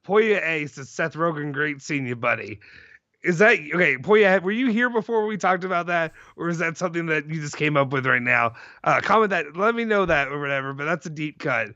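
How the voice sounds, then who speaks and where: Male livestream host, thirties, hoarse and creaky with a bright edge, chatting casually; dry, muffled lo-fi sound. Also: on the high side, a little fast, no room sound. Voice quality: hoarse, gravelly. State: voice more hoarse than normal. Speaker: host of a football fan live show. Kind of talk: casual live chat, reading out and answering viewer comments.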